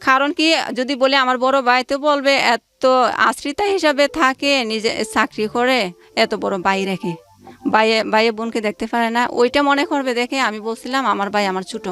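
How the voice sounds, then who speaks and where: A woman's voice: on the high side, brisk, fairly bright tone. A woman. She tells her own story informally, as an interview guest, relaying who said what in reported dialogue. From Bangladesh. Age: late 20s. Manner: recounts events through reported dialogue. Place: radio studio.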